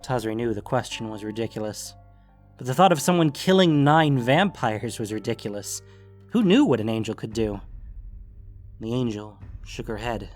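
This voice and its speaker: Male narrator, medium pitch, scripted dramatic storytelling, deliberate phrases, faint background music. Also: expressive.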